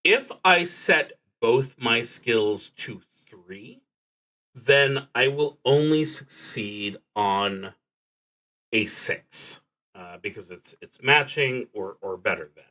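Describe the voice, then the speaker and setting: A man streaming a solo tabletop game, casually explaining rules in a halting, thinking-aloud way that often trails off. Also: medium pitch, expressive, sharp tone, poor audio.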